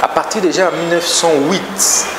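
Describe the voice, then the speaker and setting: A man's voice: on the high side, steady tone, moderate pace, reverberant, very noisy. A man with a Congolese accent, a political guest on a talk show, explaining his points emphatically and lecturing through them one by one.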